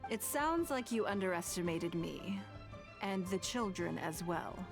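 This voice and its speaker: An actress playing a composed, authoritative lady in a video game, speaking in a measured, articulate way. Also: lowish voice, expressive, gravelly, music in the background. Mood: stern, reproachful. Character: poised, composed adult lady of authority, kindly but stern.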